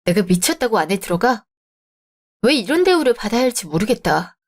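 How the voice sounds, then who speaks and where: A woman voicing a composed young bride-to-be in a radio drama, speaking calmly and firmly. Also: medium pitch, fairly quick. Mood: upset. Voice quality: calm, firm.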